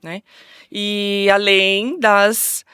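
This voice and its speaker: A woman's voice: mid-range voice, flat delivery, very slow, bright, forceful tone, clean tone, dry recording, studio quality. A Brazilian woman guest explaining as an orthodontist in conversation, often tagging phrases with "né". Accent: Brazilian Portuguese. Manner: frequent "né?" tags.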